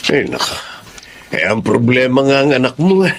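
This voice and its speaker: A man's voice: mid-range voice, big pitch swings, normal speed, gravelly. An actor playing a skeptical, grumbling middle-aged father in a Filipino radio drama. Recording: faint noise behind the voice.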